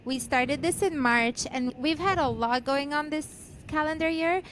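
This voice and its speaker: A woman's voice: high-pitched, measured pace, a bit bright, a little hiss or hum. A woman from Albania co-hosting a podcast in a casual, conversational style. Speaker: podcast co-host.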